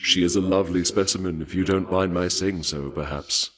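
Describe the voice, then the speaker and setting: Man acting as bloodthirsty war god, low voice, courtly, formal archaic phrasing, measured polite menace, theatrical video game character. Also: fairly even intonation, close to an everyday voice. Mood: courteous, intrigued.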